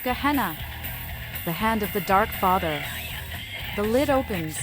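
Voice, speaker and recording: A woman's voice: mid-range voice, lively intonation, measured pace, over music, close to an everyday voice. A woman playing a mad young vampire who talks in eerie, playful riddles in a video game. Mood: eerie, musing. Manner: speaks in cryptic riddles.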